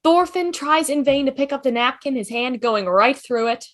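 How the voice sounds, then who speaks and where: Casting: woman narrator for script table read, very high voice, scene-setting delivery. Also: lively intonation, moderate pace. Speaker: stage-direction reader.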